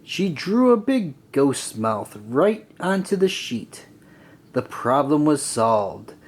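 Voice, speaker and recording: Slow, lively male children's storybook reader. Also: on the high side. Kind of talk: children's read-aloud narration.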